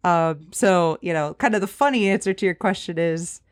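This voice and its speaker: A woman in her thirties with a fairly deep voice for a woman, a podcast guest chatting casually with plenty of fillers. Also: normal speed, clean tone. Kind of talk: casual interview chat.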